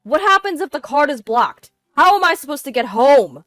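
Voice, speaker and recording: Woman acting a panicked, pleading cheating husband, loud. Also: fairly high pitch, sing-song, drawn-out words, no room sound, studio quality, pitched above the performer's own voice, heavily acted. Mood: desperate pleading. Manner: stammering, desperate.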